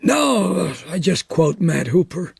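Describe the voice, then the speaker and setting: A man's voice: sits high, sing-song, slow pace, creaky, breathy edge, no echo, studio quality, pitched above the performer's own voice, heavily acted. A man voicing an easygoing small-town newspaper editor with a matter-of-fact manner. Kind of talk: audiobook character reading.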